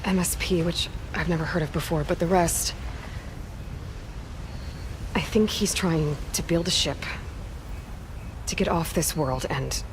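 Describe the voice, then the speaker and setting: An actress playing a determined, pragmatic young woman adventurer in a video game cutscene, measured and matter-of-fact. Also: low-pitched, background noise. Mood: explaining, serious.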